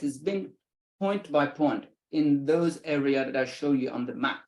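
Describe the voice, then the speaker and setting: A man's voice: medium pitch, roomy sound, low-quality recording. Iranian-accented man giving an academic talk. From Iran. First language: Lari. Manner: explanatory, steady lecturing with pauses between phrases. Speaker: linguistics researcher presenting. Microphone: video call.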